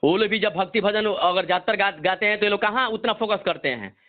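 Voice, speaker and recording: A man's voice: sits high, fairly quick. A man with a Bihari accent, a singer, explaining himself defensively and insistently over the phone, often repeating himself. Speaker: Bhojpuri singer. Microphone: telephone. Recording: narrow muffled phone-call recording.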